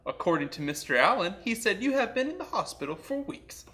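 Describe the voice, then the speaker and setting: Guy doing a casual prank call bit, kinda high bright voice, acts super earnest. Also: big pitch swings, brisk. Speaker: comedy show host making a prank call.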